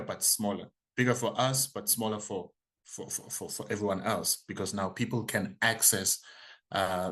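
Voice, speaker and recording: A man in his forties with a South African accent, a native isiZulu speaker, answering interview questions as a choral conductor and composer. He speaks thoughtfully, often restarting and repeating words as he searches for them. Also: on the low side. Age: 40s.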